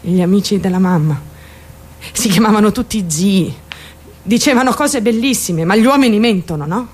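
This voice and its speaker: A woman's voice: medium pitch, normal speed, husky, lightly acted. A woman acting a theatrical radio monologue as a troubled young woman, defensive and candid, rambling and addressing her listeners directly. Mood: confiding, agitated. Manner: confiding, addresses listeners directly with rhetorical questions. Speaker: actor.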